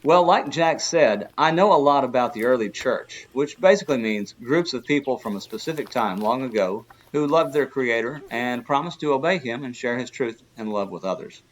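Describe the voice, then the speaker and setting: Man playing a genial, animated adventurer professor. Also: mid-range voice, moderate pace, few pauses, close to an everyday voice, low-quality recording. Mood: friendly explaining. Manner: good-natured, storytelling, explanatory. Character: genial adventurous older male history professor. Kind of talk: kids' radio drama acting.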